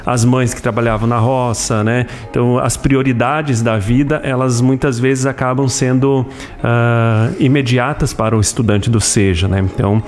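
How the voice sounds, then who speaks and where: A man in his thirties with a Brazilian accent explaining things as an interview guest, haltingly, with frequent tag questions. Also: mid-range voice, fairly even intonation, faint music behind. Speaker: interview guest, school administrator.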